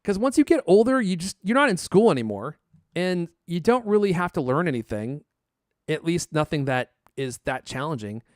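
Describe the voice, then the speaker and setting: A man's voice: on the high side, big pitch swings, normal speed, no room sound, studio quality. A man hosting a podcast, chatting casually and reading ads in a jokey, teasing way with theatrical emphasis. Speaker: podcast host. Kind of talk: casual chat and ad reads.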